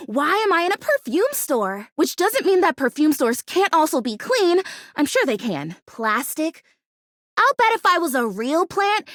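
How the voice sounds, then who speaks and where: A woman's voice: high voice, fairly bright tone, no room sound, studio quality, exaggerated voice. A woman voicing a bubbly, scatterbrained young plastic houseplant in a video game, chatty and gushing. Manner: gushing, chatty, easily distracted, exclamatory. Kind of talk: animated video game character acting.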